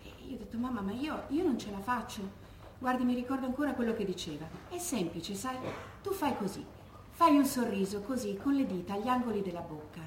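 A woman's voice: fairly high pitch, expressive, fairly quick, natural voice. An actress playing an anguished bereaved daughter who recalls her stoic mother in an intimate, earnest theatrical monologue. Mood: intimate, sorrowful. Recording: echoey room, faint background noise.

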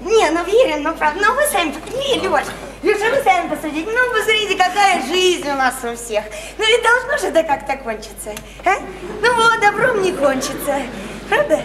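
Very high-pitched, naive small-town woman. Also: very animated, moderate pace. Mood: earnest, pleading. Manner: rambling, insistent, simple folksy speech. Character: naive, sickly, chatty small-town woman living at home. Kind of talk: stage-style radio drama acting. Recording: roomy echo, faint noise.

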